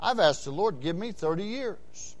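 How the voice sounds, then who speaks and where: Sixties male Baptist preacher, high-pitched, rhythmic repetition, loud emphatic peaks. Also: animated, moderate pace. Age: 60s. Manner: preaching with big swings between quiet asides and loud shouted emphasis; repeats phrases in rhythmic runs. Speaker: Baptist pastor. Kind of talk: sermon.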